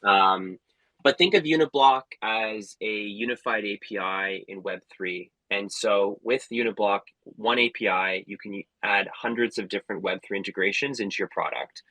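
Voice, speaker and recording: A company founder, a man, explaining his product eagerly on a casual call, talking in quick bursts. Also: on the low side, bright, forceful tone, dry recording, poor audio. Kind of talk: casual chat.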